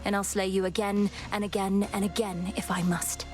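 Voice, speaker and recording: An actress playing a young, vengeful princess of the underworld in a video game, formal, solemn and resolute. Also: female voice, medium pitch, flat delivery, moderate pace, faint music behind, close to an everyday voice. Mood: cold resolve. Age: young adult. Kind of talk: theatrical video game heroine acting.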